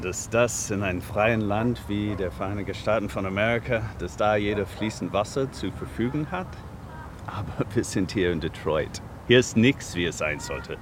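A man's voice: lowish voice, background noise, natural voice. An actor in a radio drama playing a disillusioned, plain-spoken homeless American man with a wry, bitter manner. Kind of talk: radio drama interview acting.

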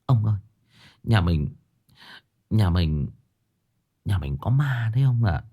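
A man voicing a frightened older village wife who stammers and rushes her words. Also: fairly low pitch, big pitch swings, noisy background. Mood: anxious. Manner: stammering, hurried and fearful.

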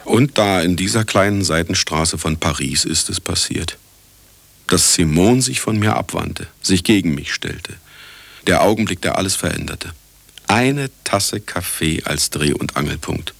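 An actor in a radio drama playing an uneasy, self-absorbed middle-aged husband and scientist. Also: male voice, low-pitched, lively intonation, unhurried, vocal fry, fairly bright tone, no echo, exaggerated voice. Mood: brooding.

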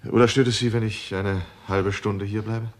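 An actor playing a courteous, formal gentleman visitor in a 1960s German crime radio play, with a gravelly voice. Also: lowish voice, fairly even intonation, moderate pace, natural voice. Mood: polite, controlled. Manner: polite, smooth-spoken, formal. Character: courteous visitor, the dead householder's brother.

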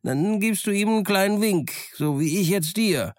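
A man's voice: high-pitched, expressive, normal speed, dry recording, clean studio sound, voice pushed up. A man voicing an eccentric old marooned sailor who rambles on insistently. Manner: rambling, insistent, winking confidentially.

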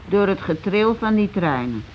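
A muffled old radio play recording with some noise carries the voice of a shaken housewife, played by an actress. She is working-class and plain-spoken. Her lines come haltingly, with repeats and broken-off starts. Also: mid-range voice, lively intonation, close to an everyday voice. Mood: flat, stunned. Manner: halting, repetitive, falters mid-sentence. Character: shaken working-class housewife circling around grim news. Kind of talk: naturalistic radio play dialogue.

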